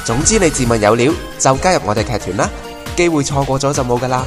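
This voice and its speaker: A man's voice: medium pitch, expressive, fast talker. A man with a Hong Kong Cantonese accent reading scripted credits and promos as an announcer. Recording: loud music under a distant voice.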